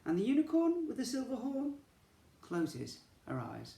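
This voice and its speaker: A male teacher reading a picture book aloud to young children, gently, with asides to them. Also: extremely high pitch, huge pitch swings, measured pace, creaky, reverberant.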